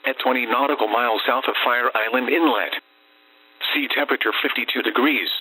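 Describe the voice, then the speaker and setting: A man's synthetic weather radio voice, bright and forceful with a rough edge. He reads scripted forecasts in a formulaic, impersonal way. The sound comes through a radio receiver, very dry with no room around it. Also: medium pitch, brisk, no echo, rough recording. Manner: formulaic, impersonal automated announcing. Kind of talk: scripted marine forecast and conditions reading. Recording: raspy weather radio reception.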